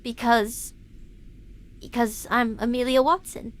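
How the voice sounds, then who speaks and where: A woman acts out a young female detective streamer in an audio drama. Her voice is very high, and she speaks in a stern, defensive, pointed way over music. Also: steady tone, slow. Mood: subdued.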